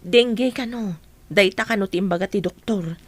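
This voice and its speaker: A woman's voice: medium pitch, moderate pace, no room sound, natural voice. A middle-aged woman playing a kindly, helpful auntie in an audio drama, with an Ilocano Filipino accent.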